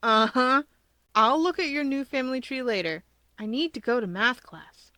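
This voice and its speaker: A woman's voice: high-pitched, normal speed, sharp tone, no room sound, voice pushed up. A woman voicing a skeptical, breezy teenage schoolboy with a teasing, dismissive way of talking in a fan fiction reading. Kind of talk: fan fiction audiobook character voice.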